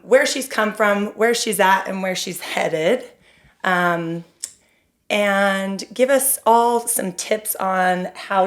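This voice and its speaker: A woman co-hosts an interview podcast in a casual, conversational way. Her voice sits at an average pitch for a woman. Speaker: podcast co-host.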